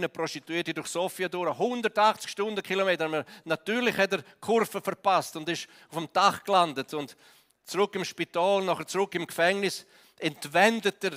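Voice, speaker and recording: Male preacher, somewhat high voice, long hall reverb, emphatic storyteller. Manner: storytelling, emphatic, drops to hushed tones at key points. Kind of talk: sermon. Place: church hall.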